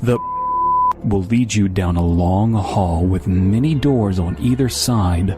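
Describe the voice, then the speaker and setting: A man reading a scripted horror story in a measured, solemn, deliberate way. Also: deep voice. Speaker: story narrator. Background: loud music.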